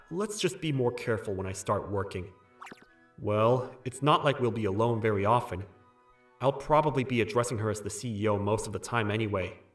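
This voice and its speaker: A man's voice: fairly low pitch, moderate pace, soft background music, natural voice. A young man playing a polite, cautious new employee, speaking dialogue and first-person narration in a manga dub. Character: hesitant, polite young man, newly hired junior employee.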